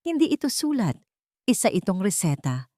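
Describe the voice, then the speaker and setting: A woman's voice: medium pitch, sing-song, normal speed, warm tone, no room sound, studio quality. A woman reading a scripted story as an audiobook narrator, in a dramatic storytelling style.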